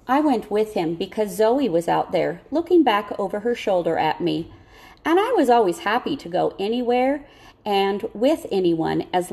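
A woman reading a children's novel aloud as scripted narration, in a measured storytelling manner. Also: mid-range voice, gravelly, darkish tone. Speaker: audiobook reader.

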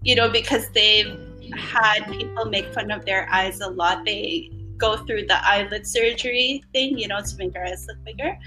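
A woman's voice: mid-range voice, moderate pace, bright, forceful tone, faint music behind, poor audio. A woman in her thirties from the Philippines, a podcast guest talking reflectively in casual conversation with many fillers. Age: 30s.

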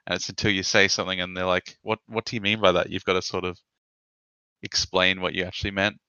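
An Australian man with an Australian accent, asking questions in a relaxed, casual interview chat. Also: low-pitched, quick pace, smooth, no echo. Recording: dull, dry sound.

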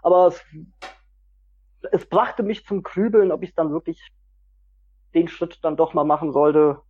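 A man's voice: a little high, unhurried, slightly rough. A man chatting casually as a guest on a group podcast. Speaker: podcast guest, hobby metal musician. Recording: dull lo-fi voice-call sound.

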